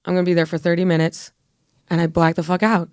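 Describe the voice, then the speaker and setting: A woman's voice: lowish voice, level tone, fast talker, a little mellow, clear, resonant tone, no echo, clean studio sound. A young woman from Buffalo, New York, a comedian guest on a podcast, who talks in a candid, rambling way and swears freely. She says she has been losing her voice. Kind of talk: casual podcast chat.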